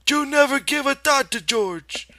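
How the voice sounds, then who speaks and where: A man's voice: extremely high pitch, unhurried, pushed and bright, dry recording, clean studio sound, pitched above the performer's own voice, full character voice. A man voicing a disapproving, nagging old aunt with folksy rural speech in an audiobook. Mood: reproachful. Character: imagined fat old woman, scolding, disapproving aunt.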